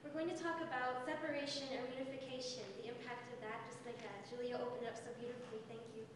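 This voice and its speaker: A woman's voice: a little high, little pitch movement, fast talker, crowd noise. A woman teacher presenting to an audience, speaking directly and engagingly to the room. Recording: echoey hall, far from mic.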